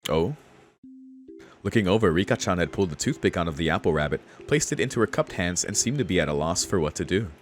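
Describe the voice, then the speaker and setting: A man's voice: sits low, expressive, fast talker, faint music behind. A man streaming a game, reading its text aloud and reacting casually with slangy exclamations and swearing. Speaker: gaming streamer reading a visual novel aloud.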